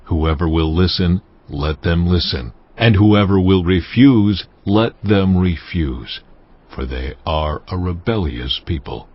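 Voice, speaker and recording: A deep, husky, solemn God. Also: male voice, dull audio, heavily acted. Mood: stern, commanding. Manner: solemn, weighty, deliberate delivery. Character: God, a stern commanding male deity. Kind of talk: dramatized scripture audio drama.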